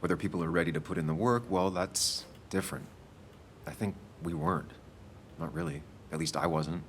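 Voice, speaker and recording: Quiet brooding man in a game drama, deep voice, measured and sincere, bit of background noise. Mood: reflective, regretful. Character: brooding, introspective man gently supporting his ex-girlfriend. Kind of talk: naturalistic video game drama acting.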